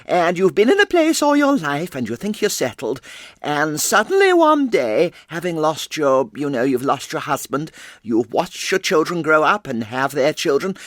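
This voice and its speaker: A man's voice: on the high side, no room sound. Bloke doing a rambling old widow lady, lilting up and down, dry sound. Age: older. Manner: chatty, rambling, self-interrupting. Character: fretful elderly widow living alone. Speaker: actor performing a character monologue. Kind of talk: stage character monologue.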